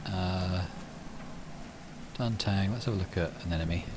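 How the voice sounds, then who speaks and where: A man doing casual, low-key game commentary and reading story text aloud as he plays. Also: unhurried, heavy background noise. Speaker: gaming channel host. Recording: music behind the voice. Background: game music.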